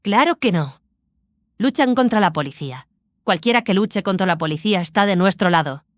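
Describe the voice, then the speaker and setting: An actress playing a guarded, sceptical receptionist in an audio drama, reserved and questioning. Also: female voice, medium pitch, lively intonation, moderate pace, dry recording, close to an everyday voice.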